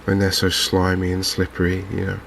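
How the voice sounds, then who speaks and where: A man hosts a game in a soft ASMR style. His voice is low and very monotone, with little rise or fall. He talks haltingly and with gentle understatement, over noticeable background noise. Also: vocal fry. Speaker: gaming channel host. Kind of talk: softly spoken ASMR game commentary.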